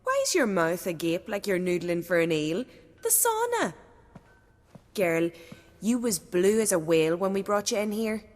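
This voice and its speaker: A woman's voice: mid-range voice, big pitch swings, moderate pace, a little mellow, lightly acted. Nosy, kindly young village girl played by a woman: folksy, teasing, swooping intonation, reverberant space, video game role. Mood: brisk, insistent. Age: young adult. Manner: folksy, dialect-heavy, teasing.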